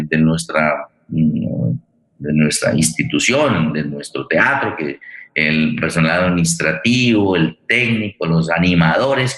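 A Colombian man hosting an online forum, speaking off the cuff and haltingly, often repeating and rephrasing his words. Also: very deep voice, a bit bright. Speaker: host of an online radio theatre forum. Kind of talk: spontaneous closing remarks and thanks. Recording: roomy, echoey sound.

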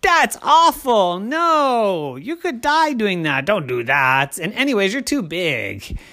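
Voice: mid-range voice, very animated, unhurried, creaky, sharp tone, no echo, studio quality, exaggerated voice. A storyteller playing an exasperated, easily alarmed father who blusters and protests in a bedtime story.

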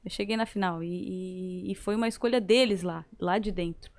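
A woman's voice: fairly low pitch, moderate pace. A woman with a southern Brazilian accent from Santa Catarina, chatting casually as a singer guest and acting out remembered dialogue. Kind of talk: casual conversational storytelling.

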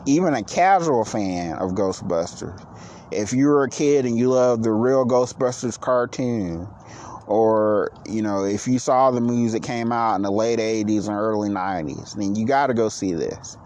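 A man in his late thirties hosting a casual solo podcast, who says he is low on energy and talks in a rambling, halting way with many restarts. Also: a little mellow. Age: 30s. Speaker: podcast host.

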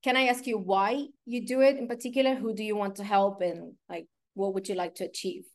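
A woman's voice: mid-range voice, fairly even intonation, a little fast, a little mellow. Direct woman hosting a casual interview. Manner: direct, blunt, filler-heavy. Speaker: interview host.

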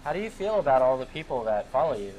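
A man's voice: mid-range voice, very animated, fast, a little mellow, slight background noise, low-quality recording. A young man interviewing someone in a casual chat on a cruise ship, rambling with lots of fillers and frequent laughs.

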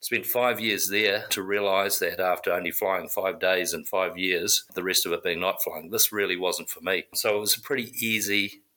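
A man's voice: sits low, steady tone, moderate pace, few pauses, sharp tone. A man from New Zealand who grew up in South Africa, a corporate pilot answering interview questions conversationally. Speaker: corporate pilot, podcast guest.

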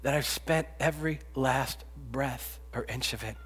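A man in his fifties preaching a sermon, rising to loud pleading and dropping to hushed whispers. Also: mid-range voice, a little slow, slightly rough, soft background music. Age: 50s. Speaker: pastor. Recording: long reverb, echoey hall.

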